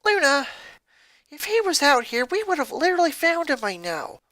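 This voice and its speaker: A woman's voice: high-pitched, sing-song, normal speed, a bit bright, no room sound, pitched above the performer's own voice. A woman voicing a defensive, exasperated teenage big sister in a story reading.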